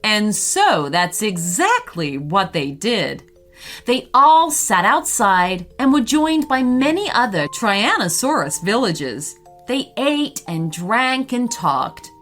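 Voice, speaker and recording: A woman narrating a bedtime story in an expressive way for young children. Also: medium pitch, slow. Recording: music behind the voice. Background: music.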